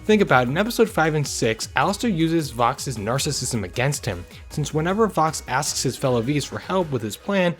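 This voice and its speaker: A man's voice: fairly high pitch, sing-song, moderate pace, few pauses. A man hosting a video review, delivering scripted commentary in an informal, opinionated way. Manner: informal, opinionated, occasional swearing. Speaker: host of a video review channel. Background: music under the voice.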